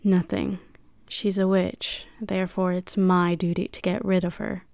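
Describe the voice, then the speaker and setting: A cold, commanding woman leader, played by a woman, speaking low and flat with a gravelly edge. She gives orders curtly and brooks no argument. The sound is dry and close. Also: unhurried, no echo. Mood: dismissive.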